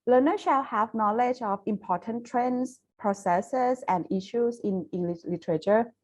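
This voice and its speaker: A Thai woman with a Thai accent, speaking English as a foreign language, giving a careful academic webinar presentation with frequent pauses and restarts over a video call. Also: fairly high pitch, darkish tone. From Thailand. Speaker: university lecturer presenting research.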